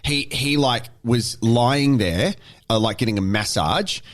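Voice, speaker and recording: A man with an Australian accent co-hosting a podcast, telling rambling anecdotes in casual, animated banter. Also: medium pitch, unhurried. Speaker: podcast co-host.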